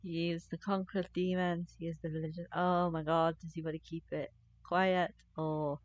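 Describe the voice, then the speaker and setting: A woman's voice: lowish voice, level tone, normal speed, dry recording, highs cut off. A woman streaming a video game, giving casual, playful live commentary and reactions.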